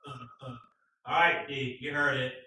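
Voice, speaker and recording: A man co-hosting a casual motorcycle live stream, joking and teasing with loud bursts. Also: medium pitch, steady tone, normal speed, a little hiss or hum. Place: garage. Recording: echoey garage, far from mic.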